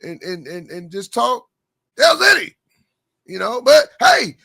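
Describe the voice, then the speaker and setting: A man co-hosting a casual high school basketball podcast, a little hoarse and rambling, repeating words as he goes. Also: big pitch swings, a little slow, bright, forceful tone. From Oklahoma. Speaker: podcast co-host. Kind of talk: casual sports chat.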